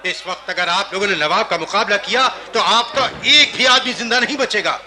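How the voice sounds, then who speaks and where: A man's voice: sits high, moderate pace, sharp tone. A man playing a diplomatic Indian courtier in a historical radio drama, speaking earnestly and persuasively in formal Hindustani. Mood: alarmed warning. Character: measured, diplomatic Indian courtier among conspirators.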